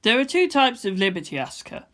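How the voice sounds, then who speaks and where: Philosophical boy , played by a woman, in a story reading: composed, solemn, certain, crisp bright voice. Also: fairly high pitch, very animated, fast. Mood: lecturing. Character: calm, philosophical boy debating with unshaken conviction. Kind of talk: fan fiction audiobook character voice.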